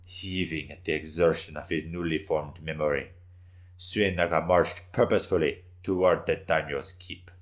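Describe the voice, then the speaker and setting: A man with a low voice reads a scripted tale as narrator. His delivery is intense and theatrical, made for a dramatic story reading. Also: fairly even intonation, normal speed.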